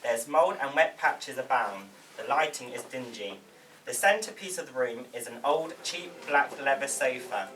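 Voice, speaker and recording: A man's voice: medium pitch, lively intonation, normal speed, a bit bright. A man reading script stage directions aloud, stumbling a little over words. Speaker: stage directions reader at a table read. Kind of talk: read-aloud script narration. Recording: distant mic, faint room noise.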